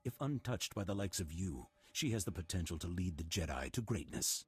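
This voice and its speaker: An actor playing a grave, self-sacrificing Jedi master in a video game, speaking in a solemn, formal, measured way. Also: male voice, low voice, big pitch swings, slightly rough, heavily acted. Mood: solemn regret. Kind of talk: scripted video game acting. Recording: music behind the voice.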